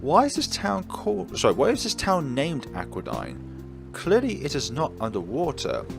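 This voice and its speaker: A man's voice: fairly high pitch, huge pitch swings, moderate pace, vocal fry, over music, pitched above the performer's own voice, heavily acted. A man reading the lines of a bold, well-off young woman in a polite, formal way. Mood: curious.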